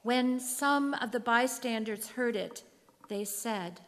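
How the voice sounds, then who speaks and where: A woman narrates scripture in a solemn, scripted style. Her pace is slow and her pitch a little high. The sound carries long reverb from a big hall. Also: fairly bright tone.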